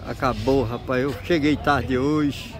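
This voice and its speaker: A man's voice: mid-range voice, fairly even intonation, measured pace. A man with a Northeastern Brazilian accent chatting casually and teasingly as he films outdoors, full of slangy exclamations. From Brazil, interior of Pernambuco. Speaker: amateur vlogger filming a street market. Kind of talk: casual chat while filming. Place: outdoor street market and town streets. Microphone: handheld phone, speaker behind camera. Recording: noisy outdoor street, crowd and vehicles. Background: market crowd, street noise, vehicles.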